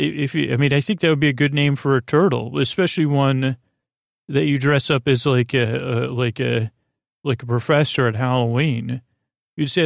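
Male podcast host, mid-pitched and gravelly, close dry sound, delivering a halting, whimsical bedtime ramble. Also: dry recording.

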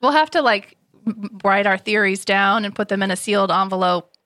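A woman's voice: medium pitch, fairly quick, fairly bright tone, dry recording. Agreeable woman podcast co-host, dry sound. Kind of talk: casual fan podcast chat.

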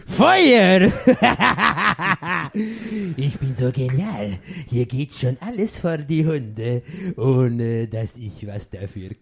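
A man playing a gleefully diabolical, megalomaniac villain in a comic radio play, gloating and cackling with drawn-out words. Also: medium pitch, very animated, creaky, fairly bright tone, full character voice. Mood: gloating, maniacal.

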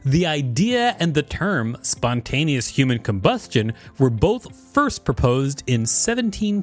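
Man with lively, swooping intonation. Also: medium pitch. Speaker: podcast co-host. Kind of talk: casual chat and reading an encyclopedia article aloud.

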